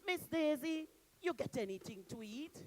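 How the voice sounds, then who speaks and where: A woman voicing a greedy trickster spider man who talks loud and pleading. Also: sits high, lively intonation, faint music behind, pitched above the performer's own voice. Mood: wheedling. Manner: loud, fast-panicking, pleading.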